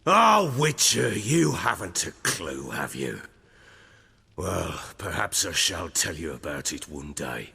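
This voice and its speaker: An actor playing a middle-aged lord in a video game, a proud, hard-drinking, volatile man with a rough voice and a coarse, brooding, theatrical manner. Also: deep voice, big pitch swings, slow pace, bright, forceful tone, big-room sound, heavily acted. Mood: bitter, brooding. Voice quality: gravelly, rough.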